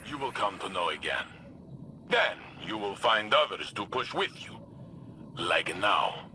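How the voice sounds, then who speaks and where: A man's voice: lowish voice, very animated, a little slow, sharp tone, slight background noise, full character voice. A man playing a huge, kindly robot warrior in a video game, speaking in broken English with short, blunt sentences, over game music. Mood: earnest. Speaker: tactical overseer.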